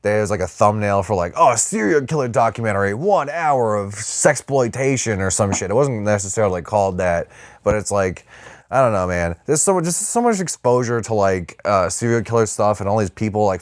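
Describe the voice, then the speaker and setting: A man's voice: fairly low pitch, dry recording, studio quality. A man co-hosting a podcast, riffing in casual, irreverent, sweary banter. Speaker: podcast co-host.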